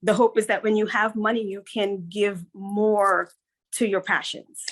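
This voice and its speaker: A woman's voice: mid-range voice, little pitch movement, measured pace. A middle-aged woman from Guyana, a guest on an interview podcast, talking in an earnest, upbeat way and repeating points for emphasis. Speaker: guest, business consultant.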